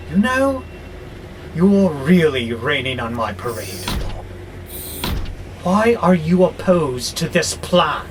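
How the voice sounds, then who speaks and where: A man plays an arrogant warehouse robot itching for revolution in an audio drama. His voice sits high and swings widely in pitch as he declaims, slow and exasperated. There is clear noise behind him. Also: very animated. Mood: exasperated, dismissive. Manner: grandiose, slow and theatrical, exasperated. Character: arrogant, frustrated male warehouse robot plotting war on humans. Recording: clearly noisy background hum.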